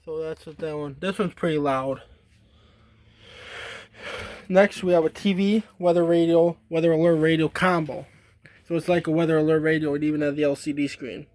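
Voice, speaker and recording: Higher-voiced man with a halting delivery. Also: steady tone, darkish tone, no echo. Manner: halting, informal show-and-tell delivery. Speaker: hobbyist demonstrating a radio collection. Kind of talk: casual product demonstration.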